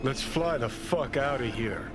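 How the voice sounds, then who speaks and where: A man's voice: medium pitch, animated, measured pace, natural voice. An actor playing a brash, foul-mouthed male rebel in a video game, blunt, cocky and pushy in his persuasion. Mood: urgent, warning. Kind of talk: video game dialogue acting. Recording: loud music behind the voice.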